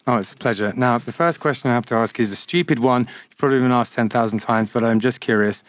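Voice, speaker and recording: A man's voice: mid-range voice, fast talker. A man hosting a podcast, chatting in a laid-back, rambling way and swearing casually. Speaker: podcast host. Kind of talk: casual chat. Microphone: studio mic.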